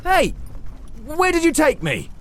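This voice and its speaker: A man's voice: very high voice, huge pitch swings, slow, fairly bright tone, in a large hall, slight background noise, pitched above the performer's own voice, exaggerated voice. A man voicing an indignant, weakened, scheming former ruler with an exclamatory, put-upon manner in a dramatised audiobook. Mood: indignant, pained.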